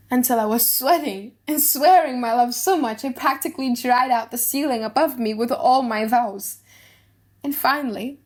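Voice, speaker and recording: Chatty, bragging young suitor female reader, high-pitched and jovial, for an audiobook stage classic. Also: darkish tone. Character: boisterous, talkative young gentleman friend in love. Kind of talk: dramatized audiobook of a classic play.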